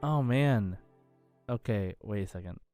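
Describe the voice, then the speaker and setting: A man's voice: on the low side, a little slow, a little mellow, faint music behind. A man co-hosting a podcast, chatting casually through a quiz. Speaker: podcast host.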